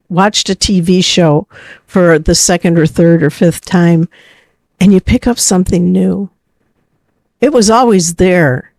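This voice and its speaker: A woman co-hosting a podcast in a reflective, conversational style, building her points deliberately in repeated phrases. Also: on the low side, a little mellow, dry recording, studio quality. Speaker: podcast co-host.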